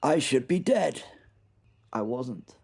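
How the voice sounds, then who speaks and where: A man's voice: medium pitch, animated, vocal fry, a little mellow, slightly rough. Wry British man narrating a horror serial. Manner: wry, halting narration with frequent repeats. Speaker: podcast host and narrator. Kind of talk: first-person horror audio drama narration.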